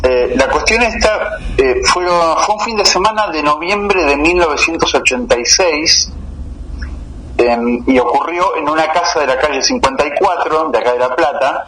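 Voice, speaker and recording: Bright-voiced Rioplatense man in his fifties. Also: on the high side, slight background noise, rough recording. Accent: Rioplatense Argentine Spanish. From Buenos Aires, Argentina. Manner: unhurried, detailed storyteller. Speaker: guest journalist. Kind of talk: radio interview, recounting local cases.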